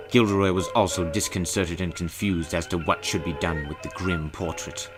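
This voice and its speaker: A man reading a scripted horror story as its narrator, in a dramatic storytelling style. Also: low voice. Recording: music behind the voice.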